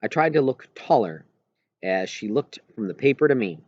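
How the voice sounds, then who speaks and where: A man narrates a children's novel in the first person, reading it aloud. His mid-range voice moves widely up and down in pitch. The recording is dry and a little dull, with hardly any room sound. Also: expressive, moderate pace, a little mellow, no room sound. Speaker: audiobook reader.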